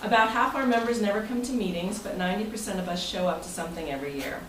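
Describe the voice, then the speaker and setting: An American woman gives an informal, jokey talk as the host of a club meeting. She is picked up from a distance in an echoey room, with clear noise behind her. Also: mid-range voice, fast. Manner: jokey, breaking into chuckles. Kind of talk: informal speech to a club meeting, part read from prepared text.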